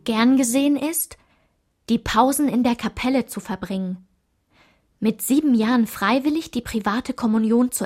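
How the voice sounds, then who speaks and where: A woman's voice: fairly high pitch, a little mellow, lightly acted. A woman narrating a literary radio play, reading aloud in a composed way.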